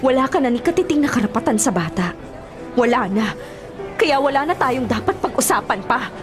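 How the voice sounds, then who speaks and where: A woman's voice: high-pitched, expressive, a little fast. A woman playing a firm, reproachful young married mother rebuffing her ex in a radio drama. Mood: indignant, resolute. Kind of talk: Filipino radio drama acting. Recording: music bed and crowd noise behind. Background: music, busy crowd sounds.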